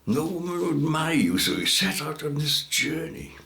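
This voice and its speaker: A man's voice: fairly high pitch, very animated, moderate pace, creaky, pushed and bright, roomy sound, voice pushed up, heavily acted. A man voicing God in a folk tale as a blunt, chatty, matter-of-fact figure handing out instructions. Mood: blunt, instructive. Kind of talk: storybook folk tale character voice.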